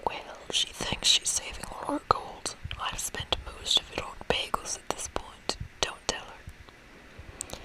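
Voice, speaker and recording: Whispering woman, ASMR game reading. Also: rough recording. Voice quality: breathy whisper. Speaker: ASMR gamer reading a game's dialogue aloud. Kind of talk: whispered ASMR let's play.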